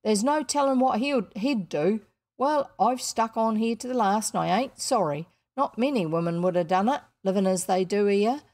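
A woman's voice: medium pitch, normal speed, darkish tone, lightly acted. A woman voicing a blunt, scolding working-class housekeeper in a storybook, with unrefined rustic speech and dropped letters. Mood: self-righteous grumbling.

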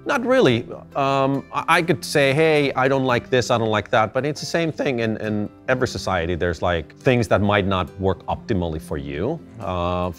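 A middle-aged man from Finland, answering interview questions thoughtfully, with halting restarts. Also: mid-range voice, expressive, fairly bright tone, soft background music. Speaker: interview guest. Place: indoor gallery space.

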